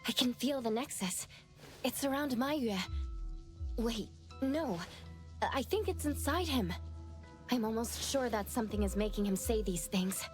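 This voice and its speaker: A woman's voice: on the high side, lively intonation, unhurried, long pauses, darkish tone, soft background music. A woman playing an earnest, perceptive young investigator in a game cutscene, explaining what she senses intently. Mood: intent, concerned. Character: earnest, perceptive young female anomaly sensor. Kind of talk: game cutscene dialogue acting.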